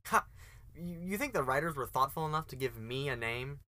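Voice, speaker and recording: Male actor, eager college student, very lively pitch, bright tone, casual, stammering, amateur radio play. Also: a little fast. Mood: casual, exasperated. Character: eager, casual college student, self-aware bit character.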